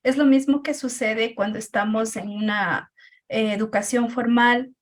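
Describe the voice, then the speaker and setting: Unhurried Quichua-native woman, hesitant. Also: on the high side, fairly even intonation. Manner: hesitant, many pauses and filler sounds. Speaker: teacher. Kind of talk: personal account in a group discussion.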